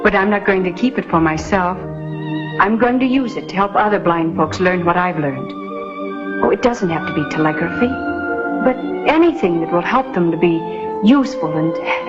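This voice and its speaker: A woman's voice: on the low side, expressive, normal speed, natural voice. Actress as earnest young frontier woman, muffled soundtrack. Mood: earnest, tender. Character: earnest, kindly young blind woman of Old West. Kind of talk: old-time western television drama acting. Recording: muffled vintage soundtrack with music.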